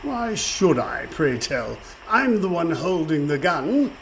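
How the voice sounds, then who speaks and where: An actor playing a blustering, villainous pirate captain in a radio play, with a pompous, theatrical, mock-courteous delivery. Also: male voice, a little high, very animated, takes it slowly, exaggerated voice. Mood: smug, menacing. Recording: faint background noise.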